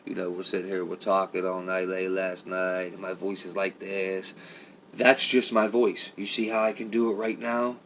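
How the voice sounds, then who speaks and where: A middle-aged man with a raspy, low voice rambles to his viewers on a live stream, leaning hard on filler phrases. He sounds tired and worn, and a faint background hum sits under the talk. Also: flat delivery, normal speed, a bit bright. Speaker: vlogger.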